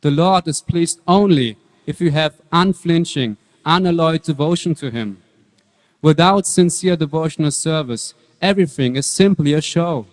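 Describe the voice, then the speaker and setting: A man playing a saintly young devotee, calm and earnest, preaching in a measured dramatic reading of scripture. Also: on the high side, close to an everyday voice. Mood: earnest, serene. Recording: reverberant hall.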